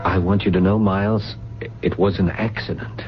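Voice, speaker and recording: A man's voice: low-pitched, fairly even intonation, normal speed, close to an everyday voice. An actor playing an evasive, guilty young man, a solicitous grown son, in a 1970s radio drama, in hesitant, clipped phrases. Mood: defensive. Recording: muffled radio broadcast with clear noise.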